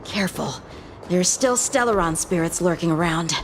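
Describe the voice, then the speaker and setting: A woman playing an earnest, eager teenage boy swordsman in a video game, speaking in a candid, boyish, expressive way. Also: on the low side, normal speed, slight background noise, close to an everyday voice. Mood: helpful. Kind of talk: English game voice acting. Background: background music.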